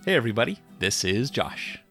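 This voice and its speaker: A middle-aged man co-hosting a podcast, chatting casually in a relaxed, rambling way with halting restarts. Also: mid-range voice, faint music behind. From Puget Sound, Washington. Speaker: podcast co-host. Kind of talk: casual chat.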